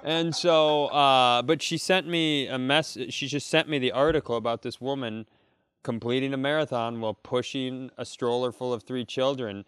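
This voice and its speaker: Smooth-voiced man bantering on a comedy podcast. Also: medium pitch, fairly even intonation, moderate pace, fairly bright tone, no room sound. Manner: profane, joking, rambling delivery. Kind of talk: casual comedic storytelling chat.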